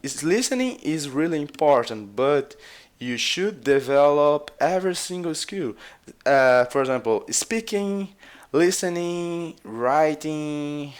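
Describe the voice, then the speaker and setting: A man's voice: a little high, lively intonation, takes it slowly, smooth. A man from Brazil with a Brazilian Portuguese accent, a native Portuguese speaker giving casual advice on learning languages, hesitant and full of filler sounds. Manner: hesitant, many filler uhs, repeats himself. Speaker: language vlogger.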